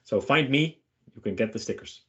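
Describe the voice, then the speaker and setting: A man's voice: fairly low pitch, lively intonation, moderate pace, a bit bright, dull audio. A man giving a casual online tech talk and answering questions in an informal, joking way with short emphatic phrases. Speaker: featured speaker answering questions.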